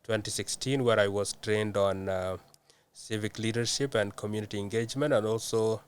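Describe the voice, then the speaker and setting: A young man from South Sudan answering interview questions in a measured way, with many hesitant fillers. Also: fairly low pitch, steady tone, clear, resonant tone. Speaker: guest.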